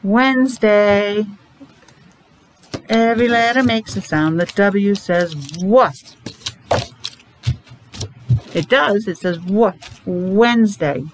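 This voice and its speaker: A woman's voice: medium pitch, slow, pauses often. A middle-aged woman leading a lesson for small children in simple, sing-song talk with questions, slightly muffled by a face mask, recorded in a classroom at arm's length. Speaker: preschool teacher. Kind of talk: children's morning meeting lesson, asking questions. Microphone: device at about arm's length. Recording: noisy room, device microphone.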